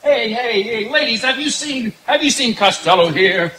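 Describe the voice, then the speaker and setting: A bossy straight man from a vintage radio comedy, acted by a man on stage. His voice is high and forceful, scolding and emphatic as he sets up the jokes. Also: expressive, moderate pace, a little hiss or hum, full character voice. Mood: insistent. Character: exasperated, bossy, fast-talking comic straight man. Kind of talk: old-time radio comedy routine. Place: outdoor night stage in the woods.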